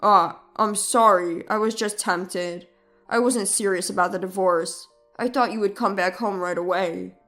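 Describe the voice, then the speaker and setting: Woman acting as cheating husband, audio drama, medium pitch, defensive, pleading, emphatic, music underneath. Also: fairly even intonation, unhurried, fairly bright tone. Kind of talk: scripted story dialogue.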